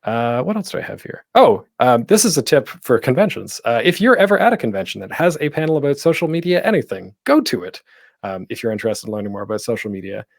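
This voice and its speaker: A man's voice: mid-range voice, big pitch swings, fast, creaky, no echo, studio quality. A man giving an informal presentation as a host, conversational and thinking aloud as he goes. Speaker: panel host and podcaster giving tips. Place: at home, online panel.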